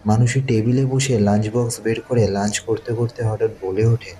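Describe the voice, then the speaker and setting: A man's voice: fairly low pitch, steady tone, normal speed, warm tone, slight background noise. A man narrating a scripted thriller audio story. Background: faint music.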